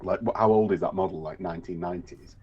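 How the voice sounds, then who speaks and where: A low-voiced man with a husky, breathy tone co-hosts a casual hobby chat. He talks in a relaxed, hesitant way and often repeats words. The recording sounds dull and lo-fi. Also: quick pace. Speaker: co-host.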